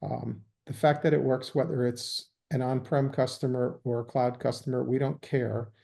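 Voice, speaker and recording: A man speaking as an interview guest, explaining things in a measured way with frequent ums. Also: mid-range voice, little pitch movement, creaky, warm tone. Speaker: guest, sales executive. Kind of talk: business interview.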